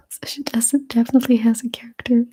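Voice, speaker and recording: Young woman doing slow asmr whispers, native russian speaker, breathy and a bit high. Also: level tone. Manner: soft, unhurried, chatty, laughs often. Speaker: ASMR streamer answering chat.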